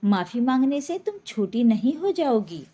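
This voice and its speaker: A woman's voice: a little high, big pitch swings, normal speed, dark tone. A woman voicing an older mother-in-law in a spoken story, coaxing and admonishing. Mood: coaxing admonishment. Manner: admonishing, persuasive.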